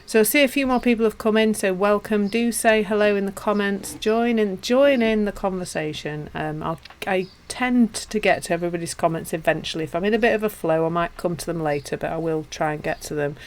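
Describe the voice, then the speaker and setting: A woman with a Yorkshire accent teaching and chatting casually with viewers on a live stream, self-deprecating and warm in her asides. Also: medium pitch, brisk, few pauses. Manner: chatty and self-deprecating, with frequent restarts and laughs. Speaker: meditation teacher.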